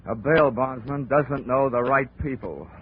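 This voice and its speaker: A man's voice: mid-range voice, measured pace, slight background noise, natural voice. An actor playing a wry, laconic male insurance investigator who narrates a 1940s radio drama. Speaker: narrator and lead. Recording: tinny old radio broadcast.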